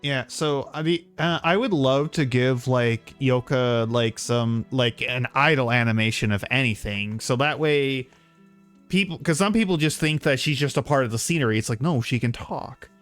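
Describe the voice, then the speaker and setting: A man chatting casually as he streams a game, rambling and halting as he talks. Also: medium pitch. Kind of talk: casual livestream chat while playing a game. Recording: dry close mic, game music behind. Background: soft game music.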